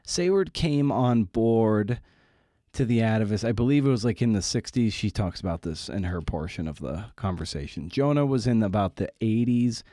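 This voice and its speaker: A man's voice: lowish voice, lively intonation, moderate pace, mellow, no echo, clean studio sound. A man hosting a podcast, talking casually in short, informal, offbeat phrases. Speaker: podcast host. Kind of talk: casual podcast intro and interview.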